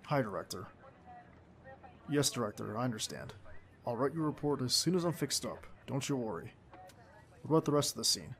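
A man's voice: mid-range voice, expressive, a little fast, vocal fry, long pauses. A man playing a young male field agent, a doting, stubborn, playful boyfriend, in an ASMR roleplay audio drama. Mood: subdued, soothing. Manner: affectionate, teasing, protesting.

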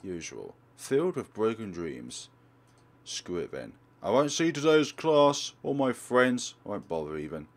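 A man's voice: lowish voice, sing-song, unhurried. A man hosting a let's play, reading game text aloud and commentating with wry, theatrical asides. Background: game music.

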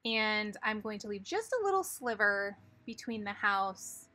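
A woman with a bright, clear voice talks through a card-making project step by step, calm and methodical. Soft music plays faintly under her voice-over. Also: medium pitch, unhurried. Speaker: craft tutorial host.

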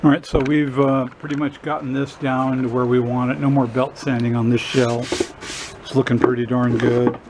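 Older male how-to host, medium pitch, folksy and casual, recorded on camera in a garage with noticeable noise. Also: level tone, normal speed. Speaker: drum restorer hosting a how-to video. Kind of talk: casual hands-on workshop demonstration. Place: cluttered garage workshop. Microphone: camera a short distance away. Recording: clear background noise, workshop ambience.

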